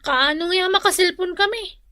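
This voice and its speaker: A woman's voice: very high-pitched, normal speed, sharp tone, no room sound, clean studio sound. A woman playing a young, whiny, protesting child of the family in an Ilocano radio drama.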